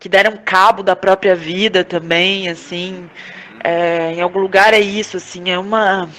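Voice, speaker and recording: A woman's voice: lowish voice, fairly even intonation, unhurried, fairly bright tone. A Brazilian woman speaking as a guest in a reflective conversational interview, feeling for her words and repeating them for emphasis. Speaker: interview guest, playwright and director.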